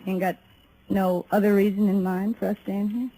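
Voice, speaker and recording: A woman's voice: mid-range voice, flat delivery, normal speed, dark tone, close to an everyday voice. An actress playing a plainspoken, earnest young frontier woman in an old radio western. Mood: wistful, pleading. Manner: plain rustic speech. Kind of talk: 1950s radio western acting. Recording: noisy old radio drama recording.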